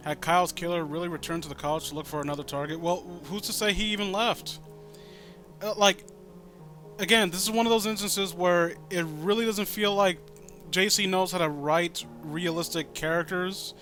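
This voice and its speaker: Exasperated man, higher-pitched voice. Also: fairly bright tone. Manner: exasperated, incredulous, sweary. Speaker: host reading and critiquing amateur horror fan fiction. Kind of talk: story reading with sarcastic, rambling commentary.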